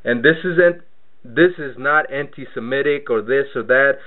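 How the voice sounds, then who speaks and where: A man's voice: mid-range voice, moderate pace. A man born in Mexico hosting a radio interview, talking in a loose, rambling way and repeating words as he searches for his point. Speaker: radio show host. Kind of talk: casual radio interview talk. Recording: tinny phone-line radio audio.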